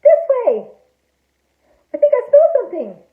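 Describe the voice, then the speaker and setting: A woman plays an earnest storybook shark detective, eager and exclamatory. The voice is high and swings widely in pitch, in a roomy, phone-like recording. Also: huge pitch swings, brisk, exaggerated voice. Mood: excited.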